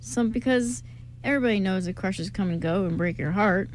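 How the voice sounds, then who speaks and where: A woman playing a steady, gently teasing best man in an amateur radio play, speaking in a casual, soothing way. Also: medium pitch, fairly quick, close to an everyday voice. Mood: gently firm. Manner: casual, soothing, plain-spoken. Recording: clearly noisy amateur recording.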